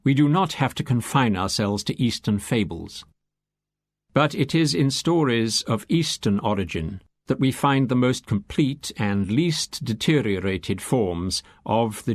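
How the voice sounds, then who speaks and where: A man reading scripted, lecture-like exposition for an audiobook in a measured, deliberate way, with emphatic phrasing. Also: mid-range voice, lively intonation, no room sound. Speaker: audiobook reader.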